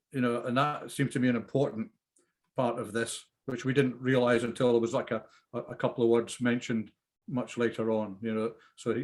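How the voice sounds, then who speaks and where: Hesitant man with a mid-pitched voice. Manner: hesitant, rambling, repeats himself. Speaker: script reader giving feedback. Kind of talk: informal critique discussion.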